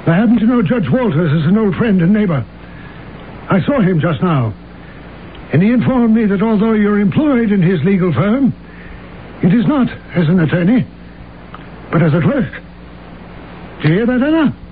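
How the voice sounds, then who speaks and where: An actor playing a stern, formal older uncle, an attorney with an authoritative manner, in old-time radio drama style. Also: male voice, sits high, animated, normal speed, long pauses. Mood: stern, indignant. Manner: clipped, authoritative, dryly formal. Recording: tinny, faintly noisy vintage radio recording.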